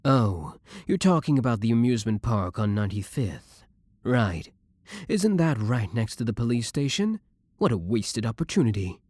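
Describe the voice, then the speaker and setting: A man's voice: medium pitch, sing-song, moderate pace, darkish tone, dry recording, studio quality. A man playing a jealous, menacing young boyfriend in an audio roleplay, biting with his rival and tender with the one he adores. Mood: scornful, pleading. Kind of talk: scripted romantic audio roleplay.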